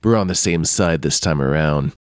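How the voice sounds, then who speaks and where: A man playing a suave, sly underworld club owner in a comic noir radio play, speaking in a smooth, teasing, refined manner. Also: rumbling low, moderate pace, no room sound, studio quality. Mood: composed, sly.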